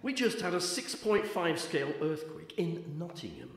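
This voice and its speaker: Through heavy echo comes a man playing a brusque scientist. He is driven and impatient, a radio play character whose slightly raised voice swings widely in pitch. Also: wildly swooping pitch, moderate pace. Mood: irritated. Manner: brusque, curt. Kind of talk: radio drama acting. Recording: echoing, cave-like reverberant sound.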